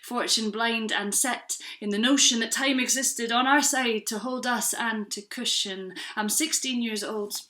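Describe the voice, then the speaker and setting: A woman's voice: fairly high pitch, a little slow, few pauses, pushed and bright. A woman in her twenties from Scotland performing a spoken word poem with earnest, rhythmic delivery. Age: 20s.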